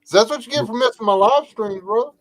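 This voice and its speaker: A man's voice: very high-pitched, slight background noise. Middle-aged man with a soothing voice. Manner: joking storyteller, laid-back with filler pauses. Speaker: podcast co-host. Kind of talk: casual livestream chat.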